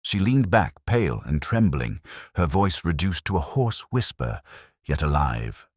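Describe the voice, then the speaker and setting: A man narrates a detective story in an extremely low voice. His delivery is measured, formal and theatrical, with lively pitch movement, and the sound is close and dry. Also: no echo. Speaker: audiobook narrator.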